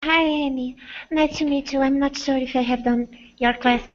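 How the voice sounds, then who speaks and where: Woman, from Brazil, high voice, flat tone, polite student, dull call audio. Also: moderate pace. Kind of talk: video call class chat.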